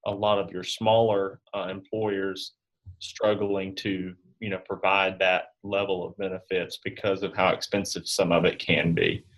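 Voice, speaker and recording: A man's voice: deep voice, level tone, measured pace, a bit bright, rough recording. A man giving informal, explanatory answers as an interview guest. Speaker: insurance specialist guest.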